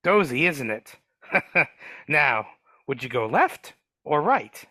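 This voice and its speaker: A man voicing a grumpy, gruff male dwarf gardener, speaking in a cagey, grumbling way over a video call. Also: fairly high pitch, sing-song, slow, pushed and bright, dry recording, full character voice. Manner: grumbling, cagey, rough working-class speech. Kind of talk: live dramatic reading over video call.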